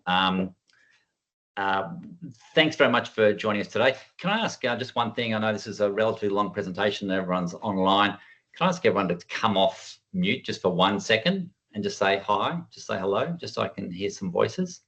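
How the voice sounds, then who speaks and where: Guy with a lowish voice doing a casual online workshop talk, conversational. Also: a little fast, fairly bright tone. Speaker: workshop presenter, working group chair. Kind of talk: informal online presentation. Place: online video call.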